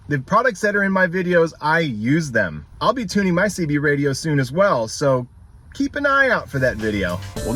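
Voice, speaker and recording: A man's voice: a little high, a bit bright, dry recording. A man narrating a casual unboxing and review as the video's host, explaining things in a plain-spoken, instructional way.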